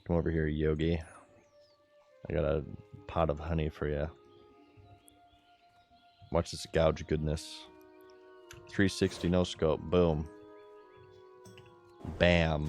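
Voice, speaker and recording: A man with a very low, deep voice talks casually through a game stream, giggly and relaxed as he chats with viewers. Faint music plays behind him. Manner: giggly, laid-back, chatting to viewers while playing.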